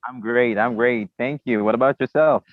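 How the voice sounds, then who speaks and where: A man from Haiti whose first language is Haitian Creole, speaking English with a Haitian accent as a podcast guest, halting and repeating words as he searches for them. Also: mid-range voice, dry recording. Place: outdoors, remote. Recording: tinny phone-like line.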